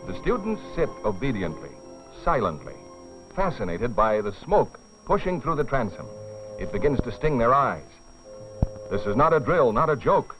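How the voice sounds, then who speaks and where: A man narrating a dramatized film in a grave, deliberate scripted style with dramatic pauses. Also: mid-range voice, sing-song. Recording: dull old film soundtrack, music behind. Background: dramatic music.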